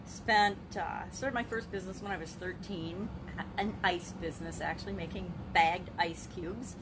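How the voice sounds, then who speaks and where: A woman's voice: fairly low pitch, moderate pace. An older woman talking candidly and off the cuff to camera as a business vlogger, rambling a bit, with emphatic phrasing. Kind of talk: unscripted talk to camera on a live video. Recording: clearly noisy background ambience.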